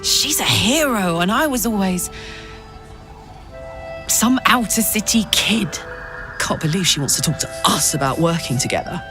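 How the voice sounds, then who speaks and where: Actress as a slow, lively young warrior woman. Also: mid-range voice, close to an everyday voice. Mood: nostalgic, awed. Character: excitable, starstruck young tough woman warrior from the outer city. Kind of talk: voiced fantasy game drama, narration and dialogue. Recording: music behind the voice. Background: music.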